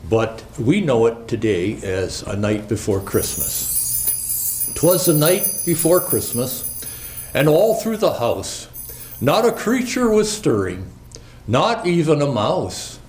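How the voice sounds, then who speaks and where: A man's voice: mid-range voice, big pitch swings, a little mellow, slight background noise. A man playing Santa Claus as a jolly old storyteller, reading Christmas classics to children in a theatrical, lilting manner. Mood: storytelling. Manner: slow, theatrical, lilting recitation. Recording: roomy, echoing indoor sound.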